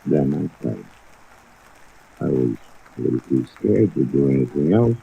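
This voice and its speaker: An actor playing a simple, pious dockside newspaper seller in a 1950s radio drama, with a gravelly voice and a halting, vague, solemn way of talking. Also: male voice, extremely low pitch, full character voice. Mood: halting recounting. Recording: muffled phone-like old radio recording with hiss. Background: steady rain.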